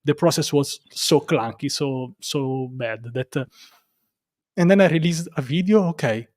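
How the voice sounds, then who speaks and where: A man from Italy with a strong Roman Italian accent, a non-native English speaker, talking casually as an interview guest in a halting, self-deprecating way and repeating himself. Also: a little high, darkish tone, dry recording, clean studio sound. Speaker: interview guest, developer advocate and content creator.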